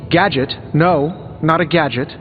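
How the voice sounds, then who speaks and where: A man's voice: on the high side, measured pace, phone-quality sound, natural voice. Male actor as wisecracking American tech-genius superhero for a video game. Glib and sardonic; reverberant, music behind. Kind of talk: video game dialogue acting.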